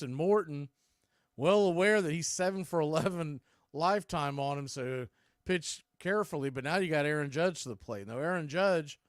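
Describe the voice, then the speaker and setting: A man doing live radio-style baseball play-by-play as the announcer, making clipped pitch calls in short phrases. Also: no room sound, studio quality.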